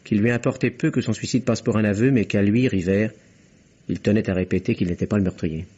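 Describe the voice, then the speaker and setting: Fast-talking shrewd investigator, husky. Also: male voice, on the low side, fairly even intonation, dark tone. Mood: composed, explanatory. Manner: explanatory, matter-of-fact, assured. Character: shrewd, composed male investigator explaining his deductions. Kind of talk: French radio mystery drama acting. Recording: muffled lo-fi vintage radio recording.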